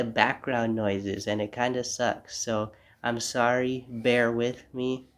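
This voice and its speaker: A young man with a stuffy, congested nose from a cold hosts his own podcast from home. His voice is gravelly and fairly flat in pitch. He rambles reflectively and breaks off now and then to apologize. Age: young adult. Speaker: podcast host. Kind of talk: casual solo podcast monologue. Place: home room.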